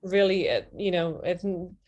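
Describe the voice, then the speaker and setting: A woman's voice: lowish voice, moderate pace, a little mellow, muffled recording. A woman with a Serbian accent, introducing herself on a casual online networking call, friendly and hesitant with many fillers. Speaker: agency founder and guest. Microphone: video call.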